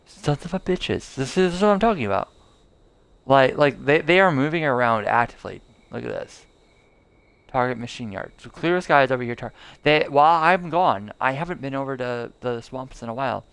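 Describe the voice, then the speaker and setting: Young guy streaming, rambling w lots of ums, gravelly but smooth voice. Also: mid-range voice, lively intonation, brisk, a bit bright, no echo. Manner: rambling, many fillers and restarts. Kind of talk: casual live gameplay commentary.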